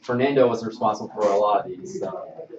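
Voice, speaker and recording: A man giving an informal, halting work presentation on templates as a mortgage trainer, recorded from a distance indoors. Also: fairly low pitch, slight background noise. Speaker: mortgage loan officer giving staff training. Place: indoor training room with projection screen. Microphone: far camera microphone. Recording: distant, roomy, slightly dull mic.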